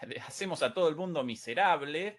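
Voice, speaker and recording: A man's voice: a little high, animated, fast talker, fairly bright tone. A man working as an interpreter, giving a spoken translation of a speech.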